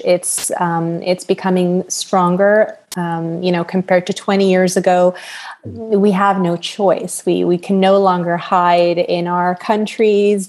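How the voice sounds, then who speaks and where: A woman's voice: mid-range voice, darkish tone. A woman, a business English trainer, answering interview questions in a chatty way with frequent fillers.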